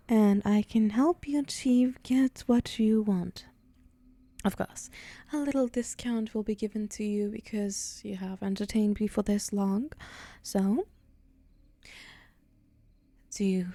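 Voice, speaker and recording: Woman acting a slow-talking, haughty demon temptress. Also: mid-range voice, warm tone, no room sound. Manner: haughty, teasing, sighing, theatrical. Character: vain, sly female demon striking soul bargains. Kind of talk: ASMR roleplay monologue to the listener.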